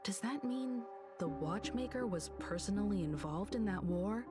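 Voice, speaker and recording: A woman's voice: medium pitch, lively intonation, moderate pace, natural voice. An actress playing a composed, inquisitive young woman in a video game. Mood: thoughtful. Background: background game music.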